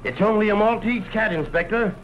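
An actor playing a dutiful, earnest police sergeant with deliberate delivery in 1930s radio melodrama. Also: male voice, high voice, natural voice. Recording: muffled, noisy 1930s radio recording.

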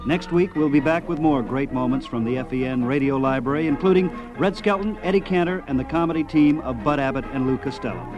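A man's voice: mid-range voice, a little fast, hardly any pauses, muffled recording. A man with an American accent delivering a scripted sign-off as a radio host. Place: radio studio. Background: music.

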